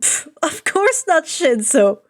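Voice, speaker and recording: Lady playing teen boy in an audio story, high light voice, earnest, bit playful. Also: sing-song, slow, exaggerated voice. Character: friendly teenage boy, light-hearted, earnest schemer.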